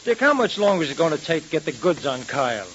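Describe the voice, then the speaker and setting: An American man playing an eager, plain-spoken detective's sidekick in a 1930s radio serial, colloquial and excitable. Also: fairly high pitch, fast. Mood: concerned. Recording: muffled, hissing old radio recording.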